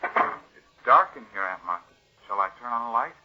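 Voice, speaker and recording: A man's voice: medium pitch, lively intonation, normal speed, lightly acted. A man playing a fearful, weak-willed nephew drawn reluctantly into murder, nervous and faltering in 1940s radio suspense style. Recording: muffled vintage radio recording.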